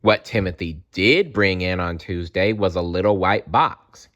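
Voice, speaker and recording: A man reading a children's book aloud as a storyteller in an animated style. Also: deep voice, a little slow, muffled recording.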